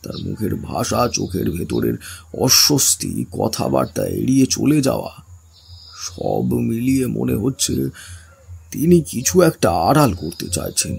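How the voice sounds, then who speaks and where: A man acts out a wary, inquisitive male investigator telling an eerie tale in the first person. The voice is low and gravelly, paced slowly with dramatic pauses. The narration is suspenseful, in the manner of a horror audio drama. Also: sing-song, darkish tone, exaggerated voice. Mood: suspicious.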